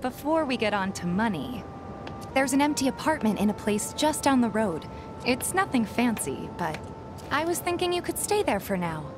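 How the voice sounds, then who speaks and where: A young woman playing a kind, earnest young bar hostess in a video game, gentle, friendly and a little hesitant. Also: on the high side, big pitch swings, normal speed. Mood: friendly, considerate. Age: young adult. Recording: game music behind the voice.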